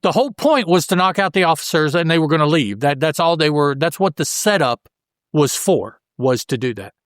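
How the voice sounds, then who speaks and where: A man hosting a podcast, casually recapping a story in a halting way as if thinking aloud. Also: fairly high pitch, expressive, dry recording, clean studio sound. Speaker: podcast host. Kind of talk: casual panel recap of a comic book.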